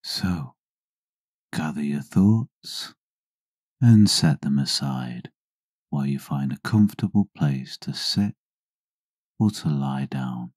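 Meditation narrator, male: dark mellow tone, breathy and hushed, deliberate pauses, close dry sound. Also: very deep voice, expressive, dry recording. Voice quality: breathy, husky, often near-whisper. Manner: deliberate, soothing delivery with long pauses. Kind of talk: scripted guided meditation, slow and hushed.

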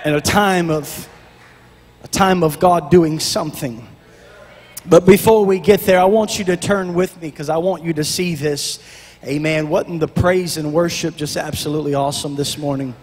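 A middle-aged man preaching on a church stage into a handheld mic, with a shouting, half-sung preacher cadence that drops to quiet prayer. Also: a little high, normal speed. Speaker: pastor. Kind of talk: Pentecostal sermon and prayer. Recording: long church reverb, music behind.

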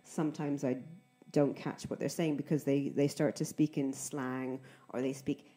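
A woman with a light Scottish accent hosts a relaxed podcast chat. Her voice sits low and sounds dark and mellow. She speaks clearly and carefully, with many small fillers. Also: moderate pace, soft background music. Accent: Scottish, softened by years in England. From Scotland. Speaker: podcast host and English teacher. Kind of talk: casual interview chat.

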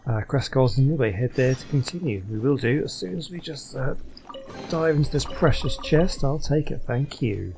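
A man's voice: medium pitch, lively intonation, normal speed, vocal fry, music in the background. A man giving casual gameplay commentary, thinking aloud as he plays. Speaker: gaming YouTuber.

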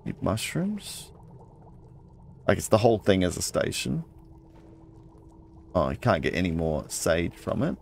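A man's voice: sits low, wildly swooping pitch, a little slow, creaky, long pauses, a little hiss or hum. A man playing a video game, casually commenting, reading in-game texts aloud and muttering asides to himself. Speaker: let's play gamer.

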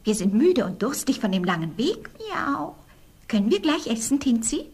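A woman's voice: fairly high pitch, very animated, moderate pace, darkish tone, husky, exaggerated voice. A woman playing a small, mysterious, commanding female cat who meows between phrases in a fairy tale audio play.

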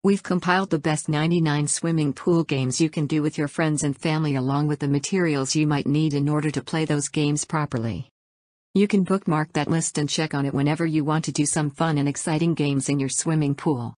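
A woman's voice: deep voice, fairly even intonation, brisk, darkish tone, no echo, clean studio sound. A woman reading an upbeat, scripted promotional voice-over as a website host. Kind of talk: scripted product narration.

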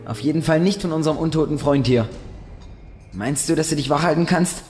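A bold young swordsman played by a man in a fantasy audio drama, on the higher side in pitch. He is protective and wry. His voice rings in long reverb over clear background music. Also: fairly quick, darkish tone, natural voice. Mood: wry, calm.

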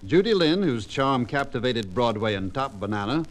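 A man's voice: mid-range voice, sing-song, normal speed, loud music behind the voice. An American man hosting a scripted 1950s radio revue as a courteous, showman-like master of ceremonies. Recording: 1950s transcription disc radio broadcast.

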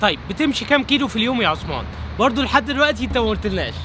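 A man in his thirties with an Egyptian accent, a vlogger asking quick, friendly interview questions outdoors at night. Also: extremely high pitch, very animated, over music. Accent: Egyptian Arabic. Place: outdoors at night by a lit waterfront.

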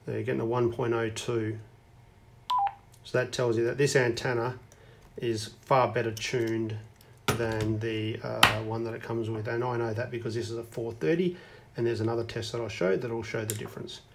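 A man's voice: mid-range voice, steady tone, normal speed, creaky, background noise. A man giving a casual hands-on demonstration as a hobbyist reviewer. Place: indoors at a wooden tabletop.

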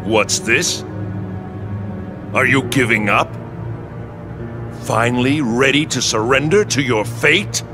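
Game villain, male actor: menacing sorcerer, taunting, imperious, unhurried, mid-pitched, music underneath. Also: lightly acted. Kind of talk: video game villain acting.

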